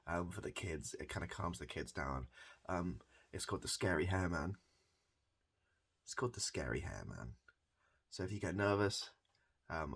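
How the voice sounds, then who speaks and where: A man with a very deep, creaky and husky voice performs improvised ASMR comedy as a hairdresser. He speaks softly and deadpan. His delivery rambles, halts and circles back on itself. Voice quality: gravelly, husky low voice. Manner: rambling, deadpan, halting and repetitive.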